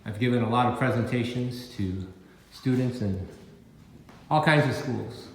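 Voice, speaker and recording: A man in his sixties with an American Midwestern accent, giving a prepared keynote talk as a photographer in measured, deliberate phrases. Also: mid-range voice. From Minnesota, United States. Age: 60s. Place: indoor gallery, recorded on location. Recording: echoey gallery room with faint background noise.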